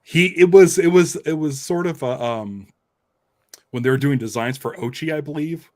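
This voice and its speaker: A man's voice: on the high side, moderate pace. A man hosting a podcast in casual, informal chat, telling things loosely and conversationally. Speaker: podcast host.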